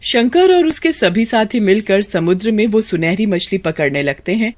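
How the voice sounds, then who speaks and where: A woman's voice: medium pitch, lively intonation, normal speed. A woman reading scripted narration for a children's moral story as the storyteller.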